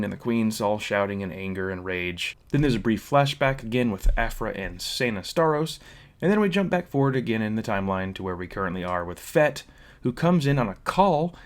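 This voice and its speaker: A man presents a spoiler recap as the host of a fan channel. He speaks at a medium pitch, working through his notes in an explanatory way and dropping in casual asides.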